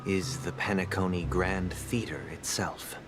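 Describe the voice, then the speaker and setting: A male actor playing a solemn, guarded investigator in a video game, his voice hushed and breathy at times. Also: deep voice, steady tone, a little slow, music in the background. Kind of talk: scripted video game dialogue.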